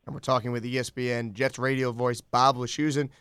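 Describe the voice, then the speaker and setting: Man, sports radio host, smooth clean voice, medium pitch, dry close sound, interview delivery. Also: little pitch movement, fairly quick, a bit bright, no echo.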